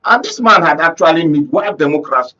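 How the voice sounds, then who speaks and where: A man's voice: on the high side, lively intonation, a little fast, bright, forceful tone, muffled recording. A man with a Nigerian accent giving emphatic, rhetorical political commentary as a panel guest on a talk show, often checking that listeners follow. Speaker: panel guest, conflict reporter. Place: radio and TV studio discussion.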